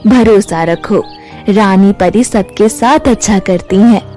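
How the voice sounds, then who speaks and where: A solemn magical river spirit from a cartoon fairy tale, voiced by a woman, speaks with measured calm. The voice sits lower and assures the listener with grave authority, with faint music behind it. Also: close to an everyday voice. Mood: solemn, assuring.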